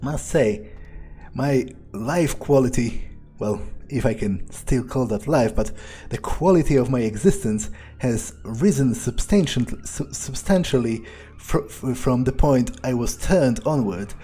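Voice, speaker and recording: A man improvising the thoughts of a cynical, power-loving vampire during a game playthrough, rambling and haltingly sardonic, with some swearing. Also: medium pitch, expressive, mellow, slightly rough, soft background music. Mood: musing, gloating. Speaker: player of a video game, speaking in character.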